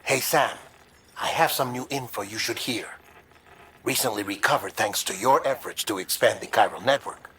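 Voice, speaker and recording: A man playing an earnest, secretive colleague who gives a measured, confiding briefing over comms in a cinematic video game. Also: lowish voice, fairly bright tone, a little hiss or hum, natural voice. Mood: guarded, confiding. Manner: measured, confiding, hesitant explanatory delivery.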